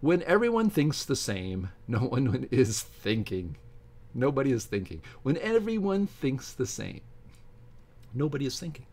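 A middle-aged man speaks at an average pitch. He hosts an informal commentary, moving between halting read-aloud passages and his own opinionated asides. He often restarts phrases and breaks off to explain what he thinks. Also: big pitch swings, a little mellow. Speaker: YouTube science channel host. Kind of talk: informal commentary while reading aloud from a book. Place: small home office with bookshelves, indoors.

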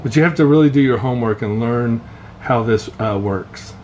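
Man, medium-pitched voice, casual product walkthrough, halting unscripted delivery, camera mic in a garage, faint background noise. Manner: unscripted, halting, pausing mid-phrase. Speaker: vlogger showing fishing gear. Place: indoor garage workshop. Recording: steady background noise, room ambience.

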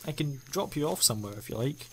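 A man's voice: a little high, lively intonation, quick pace, a little hiss or hum. A man playing a breezy eccentric traveller. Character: eccentric male time-traveller, upbeat. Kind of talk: amateur audio drama. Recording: reverberant hall with music.

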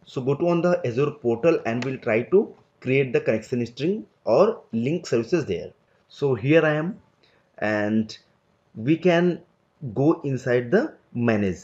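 A man's voice: mid-range voice, lively intonation, unhurried, darkish tone. Guy doing a screen tutorial, step by step, kinda dull lo-fi audio. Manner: step-by-step explanatory, loose phrasing. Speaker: tutorial instructor. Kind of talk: screen-recorded software tutorial.

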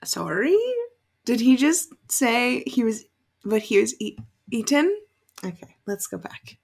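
A woman's voice: a little high, very animated, unhurried, creaky, darkish tone, husky. A young woman giving casual, sweary reaction commentary in a room at home. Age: young adult. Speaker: reaction video host. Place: small furnished room at home, indoors.

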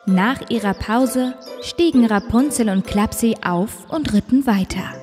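Woman narrating a children's storybook, fairly high voice, reverberant, music. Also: a little slow, a little mellow.

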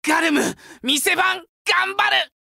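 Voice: extremely high pitch, takes it slowly, creaky, bright, forceful tone, dry recording, shouting, clean studio sound, full character voice. 甲高く叫ぶ、子どもっぽい番犬. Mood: joyful, eager. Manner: simple broken childlike speech, exclaiming, needy for praise. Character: eager childlike wolf guard dog, fiercely loyal, excitable. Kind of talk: anime game character voice lines.

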